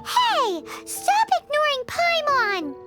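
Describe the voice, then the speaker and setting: A woman voicing a tiny, excitable, childlike fairy companion in a video game, with a squeaky voice and exclamatory manner. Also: slow pace, music in the background, heavily acted. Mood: indignant. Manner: speaks of herself in third person, exclamatory. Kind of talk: video game character acting.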